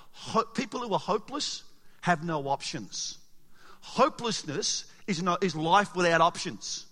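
A man preaching a sermon as pastor, animated and emphatic, asking the congregation rhetorical questions, his voice a little raspy. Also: on the high side. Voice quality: slightly raspy. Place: church hall. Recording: long hall reverb.

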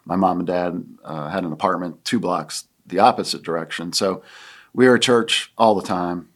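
Older guy, grandpa type, deep voice, slow thoughtful talk with lots of ums. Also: steady tone, fairly bright tone. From Pennsylvania. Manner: halting, reflective, many fillers. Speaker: guest. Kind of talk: casual conversation.

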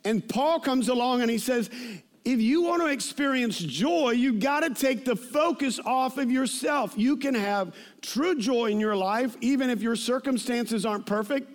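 A man's voice: very high-pitched, moderate pace. A man in his fifties preaching a conversational, anecdotal sermon, speaking informally and repeating phrases for emphasis. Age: 50s.

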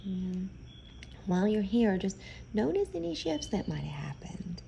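A woman leading a guided meditation in a soothing, gentle, unhurried manner, pausing often between phrases. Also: mid-range voice, very animated, creaky, a little hiss or hum.

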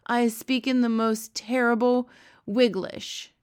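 A woman voicing a gentle, childlike male giant from a storybook, earnest and excitable, with quaint muddled grammar. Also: fairly high pitch, takes it slowly, clear, resonant tone, pitched above the performer's own voice. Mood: downcast.

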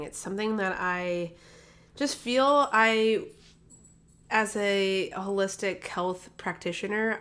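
A woman's voice: fairly low pitch, fairly bright tone. A woman hosting her own health podcast, talking casually with lots of fillers and restarts, a little nasal from a recent cold. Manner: chatty, rambling with many fillers and restarts. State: slightly nasal, recovering from a cold. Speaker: podcast host, holistic health practitioner. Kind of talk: casual solo podcast talk. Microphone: podcast microphone.

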